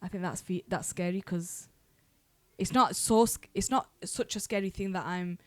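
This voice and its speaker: A young woman hosting a podcast, chatting casually and quickly with her siblings and often restarting her sentences. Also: lowish voice. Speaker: podcast host.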